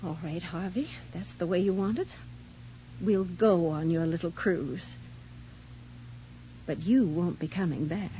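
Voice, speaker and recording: An actress playing an anxious, needy, conspiring wife in a 1980s radio drama, speaking earnestly. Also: female voice, lowish voice, measured pace, pauses often, warm tone, a little hiss or hum, lightly acted. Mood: cold resolve. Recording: muffled 1980s radio drama recording, music.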